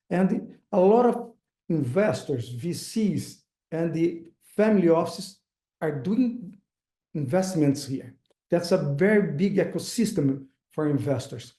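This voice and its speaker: A man with a Brazilian accent, Portuguese as his first language, giving a webinar talk as an investor, halting and pausing often with fillers. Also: sits high, warm tone, roomy sound. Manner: halting, searching for words, frequent uh fillers. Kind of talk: webinar presentation.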